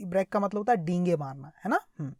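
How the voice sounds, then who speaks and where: A woman's voice: on the low side, lively intonation, quick pace, a little mellow, dry recording, studio quality. A woman with an Indian accent giving a translated story lesson, explaining phrase by phrase and often repeating herself to check understanding. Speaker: English teacher.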